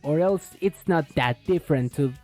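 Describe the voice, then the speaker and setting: A video essay host delivers scripted explanatory narration at a middling pitch. Faint music plays underneath the voice-over. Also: moderate pace, mellow.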